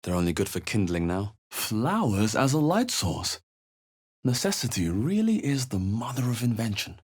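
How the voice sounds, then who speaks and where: A male video game adventurer with a fairly low, husky voice. He is observant and wry, musing in a measured, understated way, yet his pitch moves in lively turns. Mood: musing, wary. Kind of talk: video game voice acting, short reactive lines.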